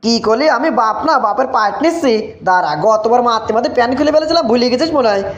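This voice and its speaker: A man's voice: very high-pitched, animated, quick pace. A man voicing a stern village father in a comic cartoon, scolding with menace and sarcasm in a Bogura accent. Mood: angry, threatening. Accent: Bogura, northern Bangladesh. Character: stern, threatening village father scolding his drunken son. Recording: echoey, dull lo-fi sound.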